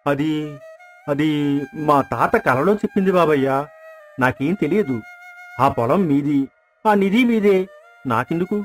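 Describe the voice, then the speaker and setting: Man playing a pleading village farmer, fairly high-voiced. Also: animated, a little slow, long pauses, faint music behind. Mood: feigned meekness. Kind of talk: acted moral story dialogue.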